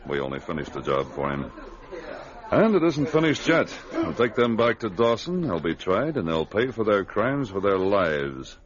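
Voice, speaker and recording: A man playing a stalwart Mountie sergeant in an old radio adventure serial, earnest, measured and authoritative. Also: on the low side, very animated, a crowd in the background. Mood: grave, resolute. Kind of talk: 1940s-50s radio adventure acting. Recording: dull lo-fi vintage radio recording.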